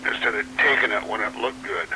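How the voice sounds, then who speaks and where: A man's voice: fairly low pitch, a little fast. A man chatting casually over CB radio in short, blunt remarks. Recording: noisy CB radio transmission.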